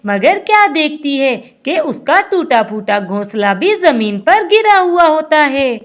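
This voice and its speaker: A woman narrating a scripted children's cartoon story in an expressive storybook manner. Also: sits high, measured pace.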